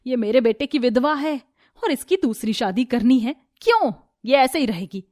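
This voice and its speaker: A woman's voice: sits high, animated, moderate pace, a little mellow, no echo, clean studio sound, voice pushed up. A woman voicing a harsh, domineering older mother-in-law who scolds, taunts and accuses in a Hindi family story. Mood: angry.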